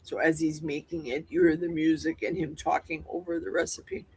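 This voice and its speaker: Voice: medium pitch, sing-song, moderate pace, gravelly, a little mellow, a little hiss or hum. A person chatting enthusiastically on a livestream, sharing food tips and laughing easily. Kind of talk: casual livestream chat.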